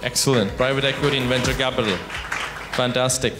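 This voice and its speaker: A man's voice: mid-range voice, steady tone, fast, a crowd in the background. A man co-hosting a startup TV show, presenting and reacting on stage. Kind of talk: event presenting with short reactions. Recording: echoey hall with music behind.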